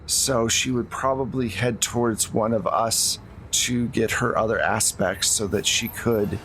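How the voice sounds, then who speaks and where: A man's voice: fairly low pitch, unhurried, fairly bright tone, rough recording. A man acts out a scared suburban woman caught up in a cult, in an improvised tabletop game. The voice stammers and pleads, repeating words nervously, with noticeable noise behind it. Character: frightened suburban woman cultist, panicky and pleading.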